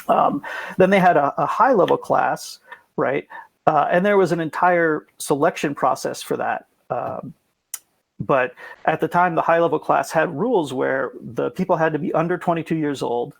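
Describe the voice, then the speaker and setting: A man in casual podcast conversation, explaining things in a rambling, hedging way with a gravelly, husky voice. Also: fairly high pitch, normal speed, no echo. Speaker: podcast guest explaining esports.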